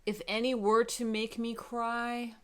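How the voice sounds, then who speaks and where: A woman book vlogger chatting casually to camera in an expressive, emphatic way. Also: on the high side, measured pace.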